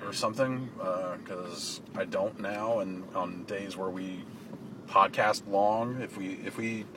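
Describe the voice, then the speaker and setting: A man with a slightly raspy voice, chatting casually as podcast host while riding in a car, rambling and correcting himself. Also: low voice, steady tone, slow pace. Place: inside a car in city traffic. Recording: mic far off, loud road noise. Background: car and traffic noise.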